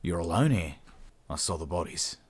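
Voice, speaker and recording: A man's voice: deep voice, expressive, normal speed, husky. A man voicing a blunt, awkward Australian space adventurer in an audiobook, speaking informally.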